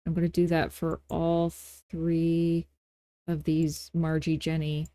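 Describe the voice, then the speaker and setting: Low, mellow-voiced woman giving a casual tutorial. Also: monotone, takes it slowly, no room sound, studio quality. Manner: playful, self-deprecating asides, folksy informal grammar. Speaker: tutorial host. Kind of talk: casual, unscripted software tutorial narration.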